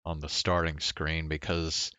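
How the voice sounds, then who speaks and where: Male game streamer, low and unhurried, casual hesitant rambling, smooth resonant tone. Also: completely flat delivery, bright, forceful tone, no echo. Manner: rambling, hesitant, thinking aloud. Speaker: game livestreamer. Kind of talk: casual chat while playing a game.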